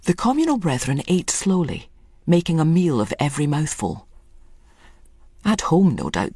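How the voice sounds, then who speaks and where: A woman's voice: deep voice, darkish tone, slightly rough, no room sound, studio quality. A woman reading a scripted literary story as a dramatic narrator.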